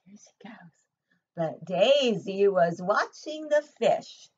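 An older woman leads story time for preschoolers as their teacher. She reads slowly and deliberately with a very lively, swinging pitch, pausing for simple questions. The home recording sounds slightly dull. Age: older, 60s or above. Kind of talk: picture-book story time for young children. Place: room at home, indoors.

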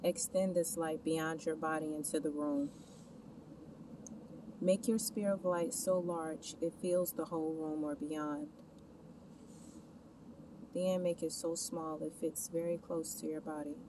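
A woman with a notably low voice narrates a guided meditation. She speaks in scripted, instructional phrases addressed straight to the listener. The mic sounds distant, with clear background noise around her. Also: fairly even intonation, measured pace, pauses often. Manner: instructional, addressing the listener with gentle imperatives and questions.

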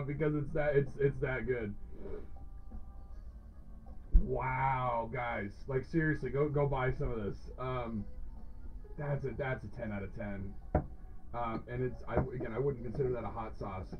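A man's voice: medium pitch, dull audio. A man in his thirties hosting a casual livestream review show, talking informally and effusively and repeating words for emphasis. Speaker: host of a hot sauce review show. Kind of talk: casual livestream chat to viewers.

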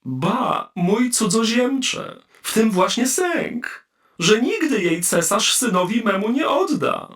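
Man putting on a lofty, high-voiced robot king. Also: expressive, takes it slowly, a bit bright, distant mic, heavily acted. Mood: doubtful. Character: male robot king, proud and doubtful.

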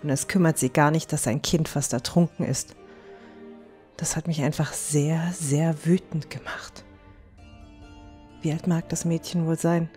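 A woman playing a stern, protective non-human young woman in a fantasy audio drama, speaking gravely and firmly. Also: sits low, steady tone, mellow, soft background music. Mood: upset, grave. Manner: firm, grave, measured.

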